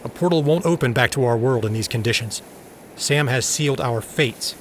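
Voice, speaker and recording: A man's voice: mid-range voice, moderate pace, slight background noise, natural voice. Man playing an earnest, intense scientist leader. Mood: urgent, grim. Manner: serious, technical, earnest. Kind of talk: audio drama acting.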